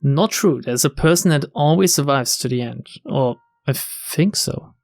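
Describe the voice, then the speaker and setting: A man's voice: mid-range voice, moderate pace, no room sound. A man hosting a game playthrough, reading the text aloud and adding casual commentary. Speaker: let's play host. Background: game music.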